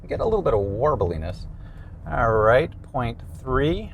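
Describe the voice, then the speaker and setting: A man's voice: mid-range voice, big pitch swings, a little slow, creaky, slight background noise. A man presenting a product range test in a casual, conversational way from inside a car.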